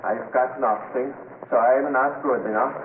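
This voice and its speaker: An actor playing a brooding, bitter gardener turned killer in an old radio horror play. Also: male voice, medium pitch, fairly even intonation, a little slow, slight background noise, natural voice. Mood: bitter, menacing. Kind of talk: 1970s radio horror acting. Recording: tinny, echoey old radio recording, distant.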